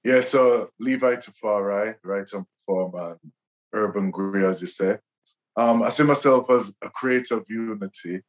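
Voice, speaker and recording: Low-voiced man, reflective. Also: rough recording. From Liverpool, England. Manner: reflective, measured, with pauses and restarts. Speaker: guest poet. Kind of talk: conversational interview.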